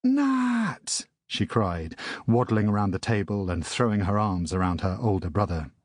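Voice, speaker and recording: A man narrating a children's audiobook in a measured storytelling manner. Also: low voice, no echo, studio quality.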